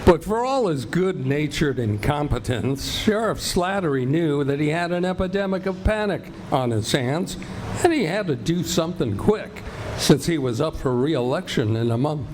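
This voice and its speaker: A man's voice: on the high side, expressive, moderate pace, noisy background. A man narrating a radio play in a theatrical storytelling style. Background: loud music.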